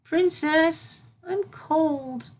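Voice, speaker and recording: A woman's voice: high-pitched, drawn-out words, voice pushed up. A woman voicing a timid young unicorn filly with a tiny, pleading voice for a storybook reading. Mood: small, pleading.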